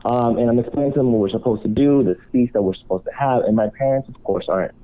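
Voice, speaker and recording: A man who was born in Cuba and works as a marriage counselor calmly tells his life story as a guest on a talk show. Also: medium pitch, fast talker. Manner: calm, reflective storytelling. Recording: tinny phone-line sound.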